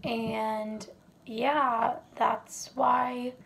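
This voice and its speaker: Hoarse woman, gravelly and slow. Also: a little high, slight background noise, low-quality recording. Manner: chatty, relaxed, many fillers. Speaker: wax melt reviewer. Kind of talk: casual product review vlog. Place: home kitchen, indoors.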